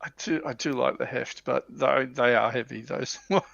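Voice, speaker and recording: Gravelly man musing, slightly muffled audio. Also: mid-range voice, moderate pace, fairly bright tone, dry recording. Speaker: livestream co-host. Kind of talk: casual hobby discussion.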